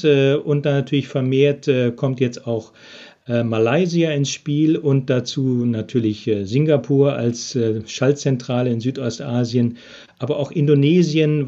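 Male business expert for a podcast interview, halting delivery with many fillers, dark mellow tone, muffled lo-fi line. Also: mid-range voice. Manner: hesitant, frequent filler sounds.

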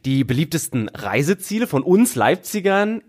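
A man's voice: on the high side, sing-song, moderate pace. A man working as a reporter who chats with the host and eagerly delivers figures and facts. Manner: enthusiastic, stresses figures and facts. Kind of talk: casual news report in conversation.

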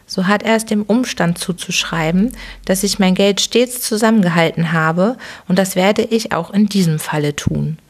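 A woman voicing a miserly, whining old man with a sharp, firm tone in an audiobook, firing off querulous questions. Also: medium pitch, natural voice. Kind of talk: audiobook character reading.

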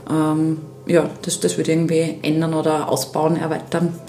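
A woman's voice: deep voice, moderate pace, faint music behind. Chatty Styrian woman in an echoey room. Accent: Styrian Austrian German. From Upper Styria, Austria. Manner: chatty, animated, many fillers, laughs easily. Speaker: podcast guest. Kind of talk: casual chat.

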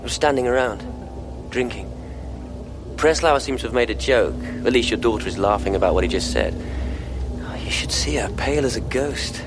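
A man's voice: mid-range voice, expressive, normal speed, lightly acted. Wry policeman, played by a man, music behind. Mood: tense, observing. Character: sardonic policeman and war veteran, sceptical sidekick. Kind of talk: audio drama dialogue.

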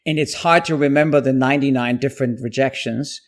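A man's voice: mid-range voice, brisk. Man telling his story. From Austria. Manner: animated, self-deprecating, with frequent fillers and restarts. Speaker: guest, company CEO. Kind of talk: storytelling interview answers.

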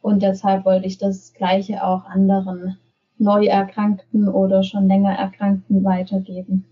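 A woman's voice: medium pitch, completely flat delivery, measured pace, slightly rough, highs cut off. A woman, talking conversationally as a podcast participant. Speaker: podcast participant, self-help group member.

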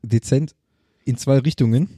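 A man's voice: medium pitch, moderate pace, mellow, breathy edge, dry recording, clean studio sound. A man, chatting casually and colloquially as a podcast co-host, with a joking manner. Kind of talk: casual unscripted podcast chat.

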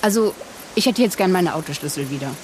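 An actress playing an indignant wife in an audio drama, incredulous, sharp-tongued and dismissive. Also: female voice, lowish voice, expressive, fast, slight background noise, natural voice. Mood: hostile. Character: indignant, scornful wife defending her husband. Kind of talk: naturalistic audio drama acting.

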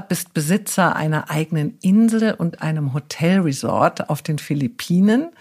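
A woman hosting a podcast, introducing her guest in measured, deliberate phrasing with a husky, breathy voice. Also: lowish voice, a little mellow. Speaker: podcast host. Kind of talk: introduction to a podcast interview, prepared narration.

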